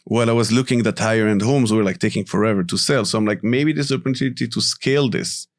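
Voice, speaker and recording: Low-voiced man from Morocco explaining. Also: fast talker. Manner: relaxed, explanatory, tags sentences with "right?" and "you know". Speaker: guest, company CEO. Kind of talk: casual interview talk.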